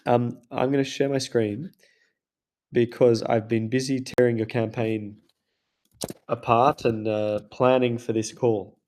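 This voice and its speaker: A man's voice: medium pitch, little pitch movement, unhurried, a little mellow. A man hosting a video call, walking a guest through an ad account in a loose, chatty way full of "like" and "kind of". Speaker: host, ads consultant. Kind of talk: casual screen-share teardown.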